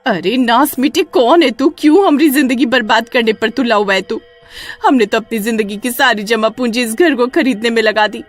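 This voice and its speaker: A woman voicing a frightened but brave young wife with shrill, dramatic exclamations. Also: normal speed, soft background music, natural voice. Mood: scolding. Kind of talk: Hindi storybook voice acting.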